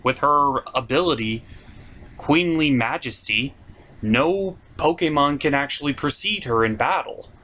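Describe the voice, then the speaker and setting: A man's voice: a little high, lively intonation, unhurried, sharp tone, a little hiss or hum. A man hosting a top ten list, giving casual, opinionated commentary with wry, informal asides.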